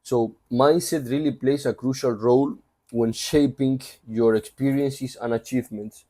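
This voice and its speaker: A man's voice: mid-range voice, steady tone, a little slow, dark tone. A man with a Spanish accent summarizing a book in a loose, conversational way, full of asides. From Spain. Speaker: book reviewer. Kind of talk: casual book summary talk.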